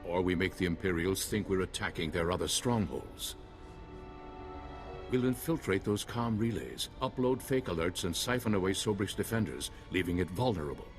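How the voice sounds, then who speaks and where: A seasoned older space-knight strategist in a video game. He speaks formally and with measure, in a low voice with light music behind him. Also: lively intonation, normal speed. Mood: measured. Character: calm, seasoned older male Jedi strategist. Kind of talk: video game cutscene acting.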